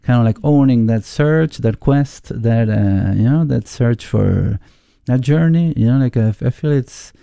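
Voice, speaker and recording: A man with an Argentine Spanish accent and a gravelly, very dark voice. He talks in a low register, thoughtful and halting, reaching for the right words as a musician guest in an interview. The sound is close and dry. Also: dry recording. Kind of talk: casual interview conversation.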